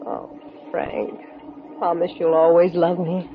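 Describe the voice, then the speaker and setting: An actress playing a romantic young bride in a 1940s radio drama, with a theatrical, lyrical way of speaking. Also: female voice, fairly low pitch, completely flat delivery, slow, dull audio. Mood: dreamy, wistful. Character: dreamy, romantic young bride absorbed in poetry. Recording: thin, band-limited old radio recording, music behind.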